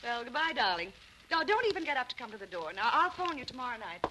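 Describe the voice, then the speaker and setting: A woman's voice: a little high, big pitch swings, fast. An American woman acting a charming, chatty young wife in an old radio drama, breezy and teasing. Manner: breezy, sociable. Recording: noisy old radio broadcast with clicks.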